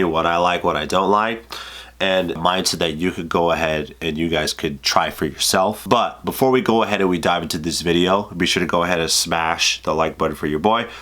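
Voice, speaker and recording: Low-voiced congested young man vlogging. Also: a bit bright. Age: 20s. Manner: casual, profane, filler-heavy bro talk. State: congested from a cold. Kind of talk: casual advice vlog to camera.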